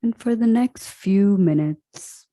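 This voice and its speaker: A woman leading a guided meditation as a teacher, speaking in a soft, deliberate, instructional way. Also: medium pitch, dry recording.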